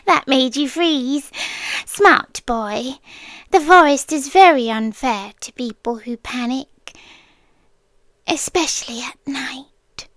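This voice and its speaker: A British woman voicing a girlish, sweetly menacing and possessive deer spirit in an ASMR roleplay, coy and teasing, slipping between soft speech and whispers close to the mic. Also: high voice, no room sound, heavily acted. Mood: coy menace. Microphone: close microphone.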